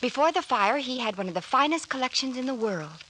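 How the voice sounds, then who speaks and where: A woman's voice: sits high, lively intonation, brisk, bright, forceful tone. An actress playing an earnest, hesitant young woman telling her story politely in an old-time radio drama. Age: young adult. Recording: noisy old radio recording.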